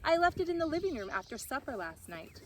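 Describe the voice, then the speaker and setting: A woman reads as a concerned storybook mother goat. She is exasperated and emphatic, her pitch rising as she frets, with clear noise in the recording. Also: sing-song, quick pace.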